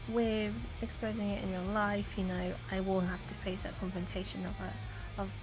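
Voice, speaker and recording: Croaky young woman vlogging. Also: medium pitch, flat delivery, normal speed, low-quality recording. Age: young adult. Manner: chatty, many "you know" fillers, earnest. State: slightly croaky from a sore throat. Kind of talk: casual talking-to-camera vlog. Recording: music playing in the background.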